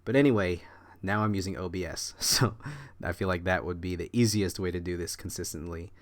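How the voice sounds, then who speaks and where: A man with a deep, low voice narrates his own drawing time-lapse in a loose, meandering way, a bit under the weather with a cough. The sound is dry, with no room echo. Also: fairly quick. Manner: rambling, meandering delivery. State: slightly sick with a cough. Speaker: artist and channel host. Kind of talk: casual voice-over commentary on a drawing time-lapse.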